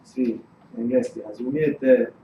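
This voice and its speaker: A man's voice: mid-range voice, steady tone, moderate pace, dull audio. Hesitant man, far off in a noisy room. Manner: hesitant, many fillers. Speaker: course organizer introducing the speaker. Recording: distant, echoey, noisy room recording.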